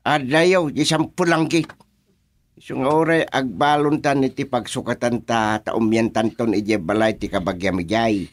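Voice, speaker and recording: A man's voice: mid-range voice, fairly even intonation, normal speed, natural voice. A man acting an agreeable village husband and father in an Ilocano radio comedy drama. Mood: agreeable, planning.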